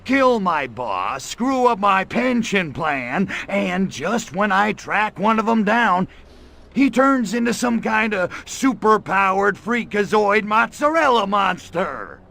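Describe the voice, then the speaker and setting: A man voicing an irritable, lecherous little alien invader in a video game, gravelly, with a sarcastic, slangy tough-guy delivery. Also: high-pitched, very animated, slow pace, bright, forceful tone, heavily acted. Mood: angry. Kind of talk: video game cutscene acting.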